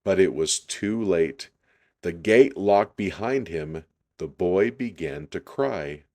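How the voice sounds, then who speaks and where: A man reading a children's story aloud in an unhurried, deliberate storytelling style. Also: deep voice, expressive, a little mellow.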